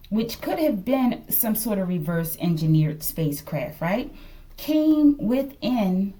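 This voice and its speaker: A woman hosts an improvised tarot reading in a mid-range voice with very varied intonation. She thinks aloud in short, halting phrases, speculating casually and often turning to her listeners to check in. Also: medium pitch, dark tone. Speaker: tarot reader and channel host.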